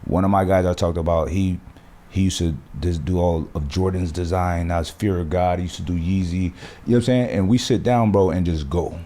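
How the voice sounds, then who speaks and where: Deep dark-voiced man, emphatic. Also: a little fast. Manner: animated, emphatic, frequent swearing and slang. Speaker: podcast host. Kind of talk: casual podcast conversation.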